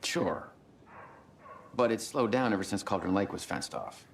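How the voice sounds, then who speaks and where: An actor playing an affable, folksy small-town sheriff in a game cutscene. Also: male voice, fairly low pitch, animated, moderate pace, gravelly, slight background noise. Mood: friendly.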